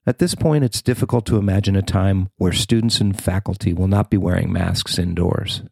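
Low-voiced American man reading news, dry sound. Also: normal speed, vocal fry, a little mellow, dry recording. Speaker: podcast host and reporter. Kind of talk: scripted news narration.

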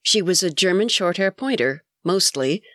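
A middle-aged woman hosting her own show, sounding stuffy from a cold as she reads a reflective, gently wry personal story. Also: on the low side, lively intonation, normal speed, no room sound, studio quality. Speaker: podcast host. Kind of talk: reading a personal essay.

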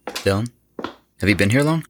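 An actor playing a calm, occult-minded rock musician who speaks earnestly. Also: male voice, lowish voice, moderate pace, background noise, natural voice.

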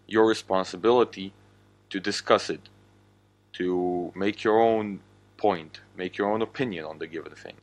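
Low-voiced Slovak-accented podcaster. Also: male voice. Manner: blunt, swears freely. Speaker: podcast host. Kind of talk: casual podcast monologue.

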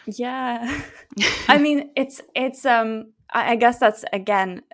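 A woman's voice: a little high, slow, fairly bright tone. A woman hosting a podcast, asking questions in a friendly, encouraging way. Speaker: podcast host. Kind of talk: casual interview conversation.

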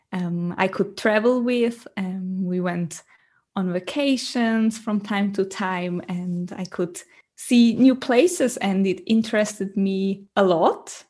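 Slow-talking woman, halting. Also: mid-range voice, a little mellow. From Switzerland. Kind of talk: casual interview talk in a second language.

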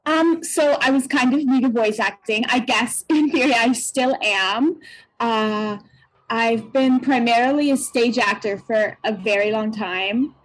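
A woman's voice: a little high, a little slow, rough recording. A young American woman with a childlike voice, talking casually and reflectively as an interview guest, often hesitating as she goes. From Pennsylvania, United States. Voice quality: childlike, youthful tone. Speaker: voice and stage actor, interview guest.

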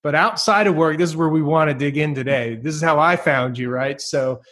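A man hosting a podcast, talking in an enthusiastic, energetic way and laughing easily as he introduces his guest. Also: a little high, a little fast. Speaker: podcast host. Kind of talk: upbeat podcast interview.

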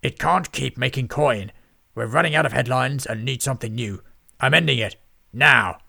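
A man voicing an enormous, greedy lord who runs a newspaper, booming and barking orders at his staff in a storybook reading. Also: lively intonation, a little slow, creaky, pushed and bright, no room sound, clean studio sound, exaggerated voice.

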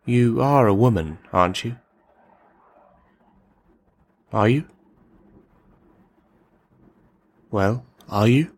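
A man playing a lonely, menacing misogynist in a radio play monologue, speaking in formal, mannered, halting phrases. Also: medium pitch, a little mellow, no echo, natural voice. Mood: defensive.

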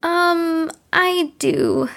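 A woman's voice: very high voice, extremely slow, vocal fry, fairly bright tone, exaggerated voice. An actress in an audio drama playing an earnest, hesitant girl who rambles through her thoughts in a group lesson.

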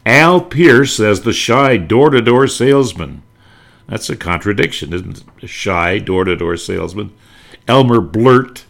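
Older male presenter: lowish pitch, very animated intonation, nostalgic, theatrical emphasis. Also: measured pace, creaky. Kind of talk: nostalgic narrated talk on old radio.

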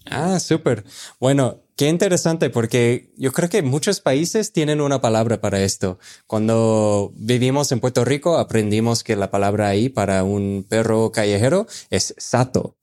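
A man's voice: mid-range voice, normal speed, darkish tone. A man hosting a casual conversation, upbeat and encouraging, asking about words and reacting briefly.

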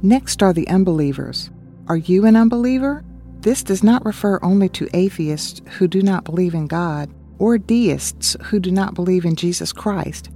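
A woman's voice: on the low side, expressive, moderate pace, mellow, faint music behind. A woman co-hosting a scripted radio Bible study, asking earnest questions and reading scripture aloud. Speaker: co-host and Bible study presenter.

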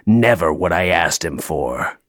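An actor playing a bitter, grieving North Pole elf in an audio play, sarcastic and emotionally open. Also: male voice, low voice, animated, unhurried. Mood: hurt, angry.

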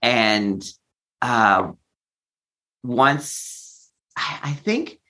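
A man's voice: mid-range voice, lively intonation, very slow, pushed and bright. A man in his fifties from Texas, telling his personal story candidly and reflectively as an interview guest. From Texas, United States. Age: 50s.

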